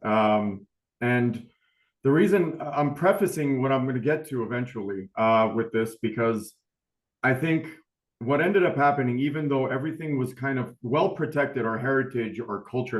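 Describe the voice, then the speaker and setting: A man's voice: mid-range voice, moderate pace. Humble man in an echoey room. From United States. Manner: humble, rambling, many fillers. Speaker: panelist. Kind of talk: webinar panel talk. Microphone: video call.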